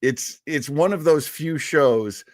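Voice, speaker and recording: A man's voice: on the high side, slow, darkish tone. A man hosting a casual livestream, rambling and chatty with lots of fillers and restarts while answering viewer comments. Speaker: host of an old-time radio livestream.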